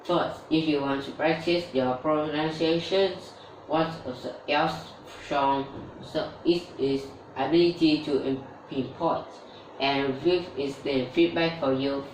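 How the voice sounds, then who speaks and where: A woman giving a scripted tutorial on learning English with steady explanations, including a sponsor read. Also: low voice, slow. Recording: roomy echo, distant mic, faint background noise.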